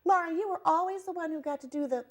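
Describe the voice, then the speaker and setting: An older woman from New York, a guest artist telling stories of her life in a relaxed, chatty interview. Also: extremely high pitch, fast. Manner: chatty storyteller, animated quoting. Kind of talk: conversational interview.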